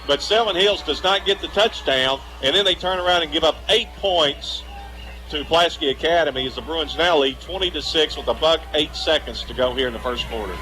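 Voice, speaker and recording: A man's voice: on the high side, a little fast, sharp tone, rough recording. An American man giving live color commentary on a football game, analyzing plays in a conversational way. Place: live at a high school football stadium.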